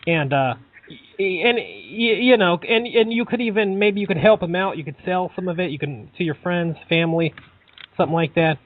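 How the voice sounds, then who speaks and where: Deadpan man stammering with feigned earnestness. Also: sits high, moderate pace, dry recording, phone-quality sound. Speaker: prank caller. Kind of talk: deadpan prank phone call.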